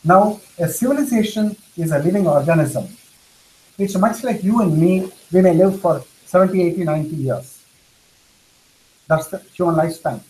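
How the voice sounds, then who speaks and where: A man's voice: high voice, warm tone, low-quality recording. Earnest man lecturing, Tamil native speaker. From India. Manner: earnest, explanatory, repeats phrases for emphasis. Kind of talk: lecture answering questions.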